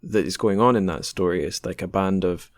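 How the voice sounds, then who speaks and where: A man's voice: deep voice, a little fast, no echo. A man hosting a podcast in a casual, informal way, tentatively checking what he has understood. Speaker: podcast host. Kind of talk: casual chat.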